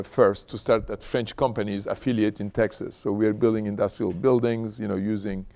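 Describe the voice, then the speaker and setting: Middle-aged male guest speaker, lowish voice, conversational and halting delivery. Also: warm tone. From Istanbul, Turkey. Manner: conversational, halting, repeats "you know". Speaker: company founder, guest speaker. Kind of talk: informal autobiographical talk to an audience.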